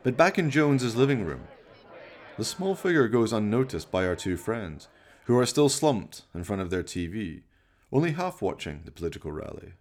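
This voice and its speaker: A man's voice: on the low side, big pitch swings, normal speed, a little mellow. A man narrating a scripted radio play as its storyteller.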